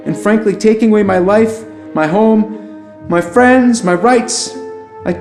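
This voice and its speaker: A high male voice in a roomy, echoing space as a man acts it out, playing an alien who runs for president in an audio drama. He is earnest and conversational, rambling with wry hesitations. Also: slow pace, a little mellow, faint music behind. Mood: defiant, resigned.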